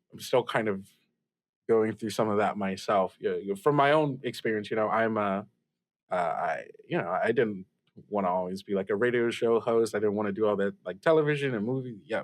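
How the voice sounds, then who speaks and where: Dude playing awkward student radio host, lowish voice, stammers, reassuring, close dry mic. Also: male voice, no room sound, lightly acted. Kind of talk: improvised actual-play radio drama.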